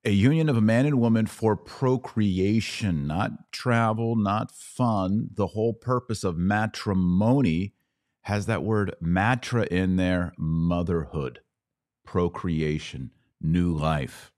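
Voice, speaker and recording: A man's voice: deep voice, slow pace, darkish tone. A man hosting a podcast, commenting emphatically on clips he plays and stressing his points with rising force. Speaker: podcast host.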